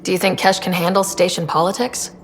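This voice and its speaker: A woman's voice: lowish voice, level tone, a little fast, in a large hall, faint music behind, lightly acted. An actress playing a young, curious, easygoing space explorer in a video game, casual and direct in her questions. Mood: inquisitive. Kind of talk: video game character dialogue.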